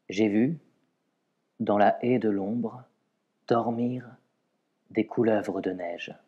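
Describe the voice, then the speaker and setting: A man reciting poetry in a measured way, pausing between short phrases. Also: on the low side, dark tone, slightly rough, lo-fi sound. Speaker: poetry reader.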